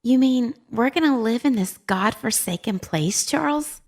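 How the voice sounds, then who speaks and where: A woman's voice: a little high, expressive, unhurried. A woman playing an uneasy, submissive wife, plaintive and yielding, in an old-time radio drama. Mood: uneasy, resigned.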